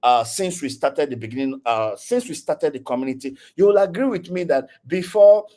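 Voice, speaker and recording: A man's voice: a little high, animated, moderate pace, mellow. A man with a Nigerian accent giving a casual online tech lecture over a video call, chatty and repetitive, often checking in with "okay?". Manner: chatty, repeats words, frequent "okay?" check-ins. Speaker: guest speaker, tech community leader.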